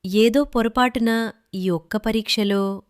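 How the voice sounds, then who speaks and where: A woman's voice: on the high side, fairly even intonation, clean tone, dry recording, clean studio sound. A woman voicing a poor village mother defending her hardworking daughter. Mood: pleading. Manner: pleading, slow, hurt.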